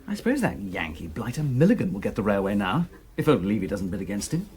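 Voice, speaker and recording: Radio drama: idle upper-class English gentleman, jovial slang, lower register, animated. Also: fast. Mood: chatty. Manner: slangy, exclamatory.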